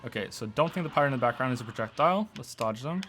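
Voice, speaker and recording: A man's voice: mid-range voice, animated, very fast, over music. Rambling Welsh-born man with a mostly Canadian accent. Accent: mostly Canadian with some Welsh traces. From Wales. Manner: rambling, stammering restarts, answers chat questions while playing. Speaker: streamer. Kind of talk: casual gaming stream chat.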